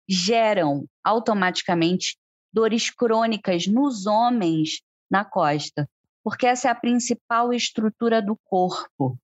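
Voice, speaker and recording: A woman's voice: mid-range voice, dry recording, muffled recording. Brazilian woman explaining on a podcast. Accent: Brazilian Portuguese. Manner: explanatory, asks co-host questions. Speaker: podcast co-host. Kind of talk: conversational podcast talk.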